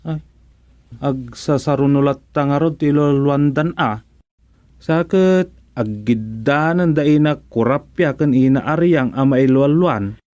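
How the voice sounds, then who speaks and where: A man's voice: mid-range voice, measured pace, a little mellow, no room sound, lightly acted. An actor playing a flustered comic village errand man in an Ilocano radio comedy drama. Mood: uneasy.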